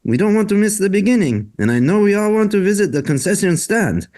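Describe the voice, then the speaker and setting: A man playing an excitable, courteous and eager Frenchman in a scripted table read. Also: sits high, a little fast. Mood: urgent, excited. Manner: exclaims in French phrases, urging others along.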